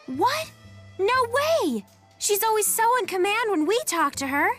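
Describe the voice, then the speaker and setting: A woman's voice: very high-pitched, sing-song, a little slow, a bit bright, heavily acted. An actress playing a perky, earnest, youthful girl in a video game. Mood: surprised, playful. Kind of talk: animated video game voice acting. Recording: studio voice with music behind.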